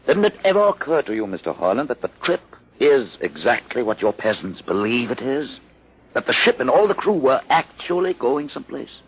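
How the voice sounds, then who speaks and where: An actor playing a learned, commanding older rebel aboard a starship in a radio drama, speaking in a deliberate, theatrical, condescending way. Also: male voice, medium pitch, big pitch swings, slightly rough. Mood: insistent, commanding. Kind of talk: old-time radio drama acting. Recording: muffled, noisy old radio recording.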